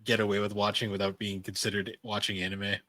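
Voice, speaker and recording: A man's voice: on the low side, little pitch movement, fast talker, pushed and bright, dry recording. A man co-hosting a podcast, chatting casually and informally in loose, rambling remarks. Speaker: podcast co-host. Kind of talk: casual chat about anime and games.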